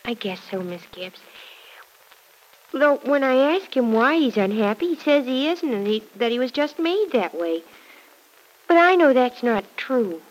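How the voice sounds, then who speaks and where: A woman's voice: high-pitched, very animated, a little slow, rough recording. A woman playing a cheerful, devoted young farm girl working as a housemaid in a classic radio drama, earnest and artless with folksy exclamations. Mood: wistful, earnest.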